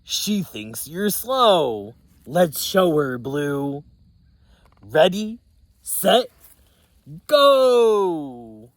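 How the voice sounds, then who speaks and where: A woman voicing a bossy farmyard toad in a storybook, loud and urging. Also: deep voice, sing-song, drawn-out words, dry recording, exaggerated voice.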